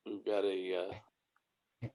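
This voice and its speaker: A man's voice: deep voice, monotone, slow, warm tone. A man hosting and moderating an online discussion over a video call, talking loosely with many fillers. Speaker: host.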